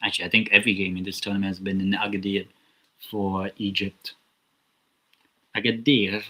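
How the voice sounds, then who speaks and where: Chatty low-voiced man commentating a live match. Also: little pitch movement, normal speed, fairly bright tone. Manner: chatty, rambling, self-talking asides. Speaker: watchalong host. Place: small home room near a closet.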